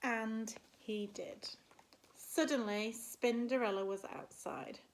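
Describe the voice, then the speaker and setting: A woman, a primary school teacher, reading a picture book expressively to children and pausing to put questions to her listeners. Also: on the high side, fairly even intonation. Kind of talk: animated picture-book storytelling with asides.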